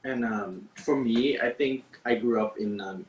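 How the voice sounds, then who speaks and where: A man's voice: mid-range voice, measured pace, slight background noise, low-quality recording. A man from Vietnam, a special effects artist hesitantly answering interview questions and searching for words.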